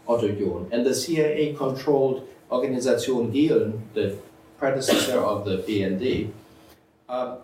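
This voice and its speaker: A man giving a measured, methodical academic lecture as a historian, now and then clearing his throat. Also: medium pitch, a little mellow. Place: lecture room. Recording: roomy, from far off, faint hiss.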